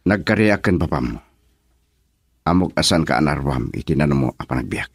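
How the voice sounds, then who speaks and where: A man playing an earnest, hardworking young husband who speaks gently to his wife in an Ilocano radio drama. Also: extremely low pitch, animated, a little fast, slightly rough, no room sound, studio quality, full character voice.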